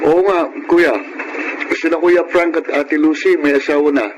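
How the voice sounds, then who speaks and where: A man's voice: on the high side, steady tone, moderate pace, highs cut off, lightly acted. An actor playing an eager, caring young Filipino man, the youngest brother, earnest and coaxing, in radio drama style. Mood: caring, teasing. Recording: noisy radio drama with background ambience.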